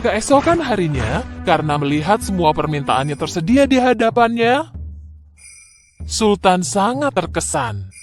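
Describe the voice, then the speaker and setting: A man narrating a scripted fairy tale for children in an expressive, deliberate storytelling manner. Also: high voice, soft background music.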